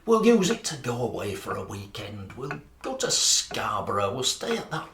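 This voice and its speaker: Actor as an earnest Yorkshire husband, echoey room. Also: male voice, on the low side, sing-song, moderate pace, fairly bright tone. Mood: concerned, conciliatory. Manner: earnest, pleading. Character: proud, wounded, well-meaning rugby player husband. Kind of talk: radio play acting. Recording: echoey room with faint noise.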